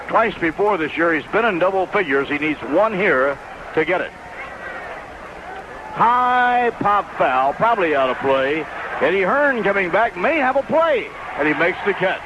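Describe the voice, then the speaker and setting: High-pitched man calling baseball on vintage radio. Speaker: baseball play-by-play announcer. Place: stadium broadcast booth. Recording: dull noisy vintage AM radio.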